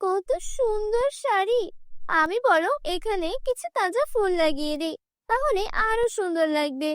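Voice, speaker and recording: A woman's voice: extremely high pitch, animated, moderate pace, no room sound, clean studio sound. A woman voicing a poor, proud young village bride in a cartoon, plaintive and emphatic in manner. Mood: delighted.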